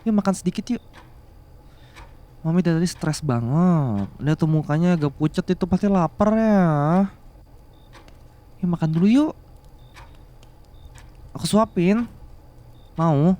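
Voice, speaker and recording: Man acting as slow-talking, attentive young boyfriend. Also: a little high, dark tone, natural voice. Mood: tender coaxing. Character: attentive, bashful young man doting on his older boss girlfriend. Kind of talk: Indonesian ASMR romance roleplay.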